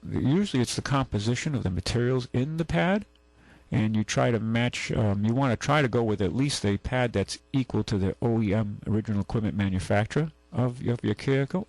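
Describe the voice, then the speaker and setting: A man's voice: on the low side, a little mellow, dry recording, rough recording. A man hosting a call-in radio show, giving folksy, rambling car advice and correcting himself as he goes.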